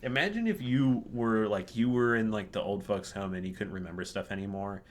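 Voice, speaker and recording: A man's voice: on the low side, fairly quick. A man co-hosting a gaming video, chatting casually in a rambling, joking way. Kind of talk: casual chat, game commentary. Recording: faint background noise.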